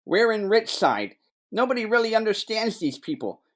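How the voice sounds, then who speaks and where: Actor for irreverent detective sidekick in noir comedy audio play: deadpan wisecracks, flippant delivery, mid-range voice. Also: mid-range voice, moderate pace, lightly acted. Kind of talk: comic noir audio drama acting.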